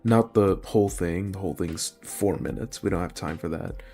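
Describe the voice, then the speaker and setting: Deep-voiced man narrating a clip. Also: moderate pace, dark tone, soft background music. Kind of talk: casual narration.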